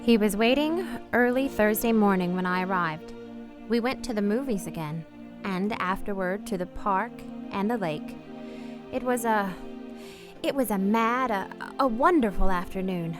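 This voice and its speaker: A woman's voice: mid-range voice, normal speed, music in the background, lightly acted. An actress playing a wistful, restrained married woman torn by forbidden love in a radio play, speaking earnestly and hesitantly. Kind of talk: radio play acting with first-person narration.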